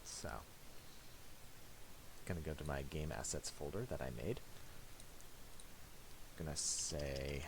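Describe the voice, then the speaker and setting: Deep voiced guy doing a software tutorial, thinks out loud, halting, faint hiss and clicks. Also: fairly even intonation. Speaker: tutorial presenter. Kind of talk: casual unscripted software tutorial narration.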